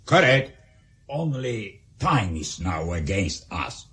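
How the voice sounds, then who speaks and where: An actor playing a scheming Soviet leader in a radio drama, with a measured, weighty delivery. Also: male voice, fairly low pitch, big pitch swings, sharp tone, full character voice. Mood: grave, calculating.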